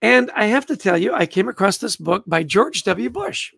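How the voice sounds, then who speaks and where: Older male host, Canadian-born, high and gravelly, eager casual book-club chat, dry close sound. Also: lively intonation, moderate pace, a bit bright, no room sound. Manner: enthusiastic, greets viewers by name.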